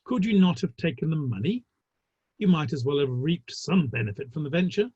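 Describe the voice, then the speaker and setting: Guy acting polite victorian theatre gent, husky, swingy pitch, dull lo-fi audio. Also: lively intonation, brisk, darkish tone, no echo. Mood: tactful, placating. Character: tactful, conciliatory Victorian theatre gentleman. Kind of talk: dramatic play reading.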